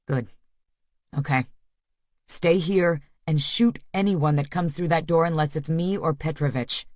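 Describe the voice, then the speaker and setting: A woman's voice: low voice, measured pace, dry recording, lo-fi sound, pitched below the performer's own voice. A woman voicing a confident, blunt, protective young man in an audiobook. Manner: blunt, commanding, swearing.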